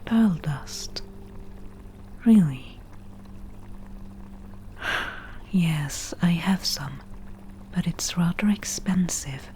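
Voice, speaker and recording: A woman playing a crafty, slightly defensive forest witch in an ASMR roleplay, speaking in a soft breathy whisper with measured, dry delivery. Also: fairly low pitch, slight background noise. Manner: slow, measured, matter-of-fact with dry asides. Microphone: close ASMR microphone.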